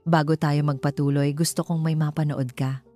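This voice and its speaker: A woman with a Filipino accent voicing a cold, commanding wealthy businessman in a story, flat and emotionless. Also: low-pitched, moderate pace, soft background music, natural voice. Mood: cold, stern.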